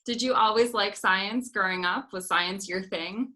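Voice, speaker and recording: A young woman hosting an interview over a video call from a small office, opening with a clear scripted introduction and asking questions. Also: mid-range voice, a little slow, sharp tone. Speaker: host, genomic educator. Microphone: laptop webcam mic on video call.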